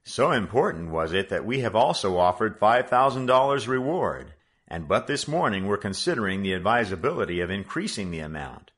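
Man doing a calm sly businessman dad, low voice, measured. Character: kindly shrewd middle-aged businessman father.

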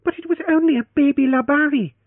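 Brief: fiery young heiress, high and sharp, a male reader doing her. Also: big pitch swings, moderate pace, no room sound. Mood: pleading. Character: proud spirited young heiress, quick to anger. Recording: tinny phone-band audio.

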